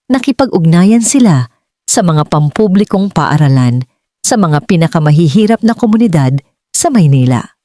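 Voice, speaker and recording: A Filipino woman narrating a scripted dramatic story in measured, earnest phrases. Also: deep voice, mellow, no room sound, clean studio sound. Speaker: audiobook narrator.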